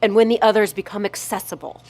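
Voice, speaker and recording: A woman's voice: mid-range voice, level tone, quick pace, a little mellow, natural voice. A woman playing a concerned, insistent medical doctor in an audio drama, speaking in a direct, pressing way.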